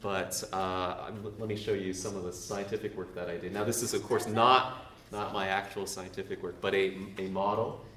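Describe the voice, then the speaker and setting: A man's voice: low voice, steady tone, normal speed, hardly any pauses, a crowd in the background. A young man from Canada giving a casual, self-deprecating church talk with slides, full of fillers and asides. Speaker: presenter. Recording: roomy, far from the mic, faint noise.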